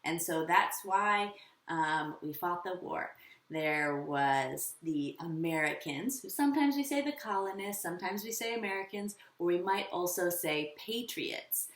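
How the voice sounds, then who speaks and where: Lady teacher doing a kids lesson, super lively pitch, takes her time, encouraging, bit echoey. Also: measured pace. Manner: instructive, encouraging. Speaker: elementary school teacher. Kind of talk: children's lesson with questions and pauses. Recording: roomy echo, slightly distant mic.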